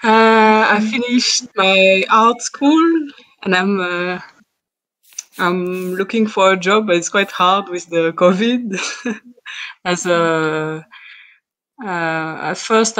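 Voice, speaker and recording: A woman in her twenties from Switzerland, introducing herself on a video call with halting, filler-filled speech. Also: medium pitch. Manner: hesitant, many fillers, laughs softly. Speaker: art contest winner.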